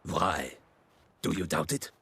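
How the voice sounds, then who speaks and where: An actor playing a haughty, menacing thug in a video game, gravelly and contemptuous as he makes threats. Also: extremely low pitch, slow pace, full character voice. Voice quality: gravelly, sharp. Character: haughty, menacing male thug evicting vagabonds.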